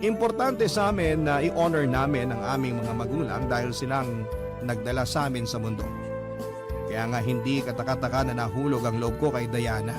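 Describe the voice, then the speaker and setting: A man narrating a listener's love letter in a dramatic, expressive radio style. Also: mid-range voice, normal speed. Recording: narration over clear music bed.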